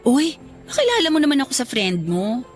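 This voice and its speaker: Bold nosy filipina bestie, radio drama, animated and flirty, bit high, faint music under. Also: female voice, brisk. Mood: chatty.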